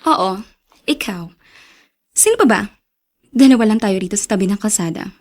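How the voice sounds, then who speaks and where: An actress playing a brash, territorial streetwalker, sassy, taunting and flirtatious, in a Tagalog radio drama. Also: female voice, mid-range voice, lively intonation, moderate pace, lightly acted. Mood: mocking, territorial.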